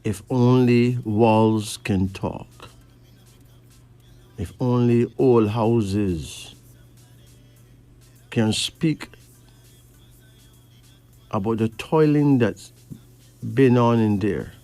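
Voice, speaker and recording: Slow, deliberate Caribbean man on late-night radio. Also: medium pitch, warm tone, no echo. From Barbados. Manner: measured, deliberate, pausing between phrases. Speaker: radio host giving readings to callers. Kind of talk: late-night radio talk, slow monologue and caller chat. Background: faint music.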